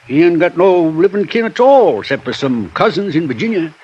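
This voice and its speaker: An actor playing a folksy older rural American prospector with a rambling drawl, in 1950s radio drama style. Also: male voice, on the high side, lively intonation, a little fast, husky. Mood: folksy, chatty. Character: folksy older male rock-moving prospector, plain-spoken. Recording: muffled, noisy old radio recording.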